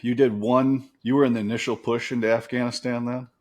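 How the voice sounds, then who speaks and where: A man's voice: mid-range voice, little pitch movement, fairly quick. A male podcast host in casual conversation, often restarting as he shapes his questions. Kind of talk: casual interviewing.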